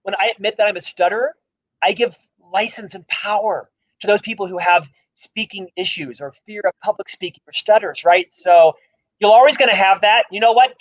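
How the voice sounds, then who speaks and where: A man with a high, light voice and a stutter speaks emphatically and self-deprecatingly. The sound is dull and lo-fi, like a livestream call. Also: moderate pace. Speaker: author and guest speaker. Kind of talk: livestream interview talk.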